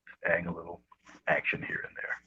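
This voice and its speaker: A man with a low, husky voice giving a casual painting demonstration, speaking softly and often trailing off into a murmur. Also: monotone, quick pace. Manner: soft, murmuring, trails off near whisper. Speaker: guest painting instructor. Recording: dull, roomy livestream audio.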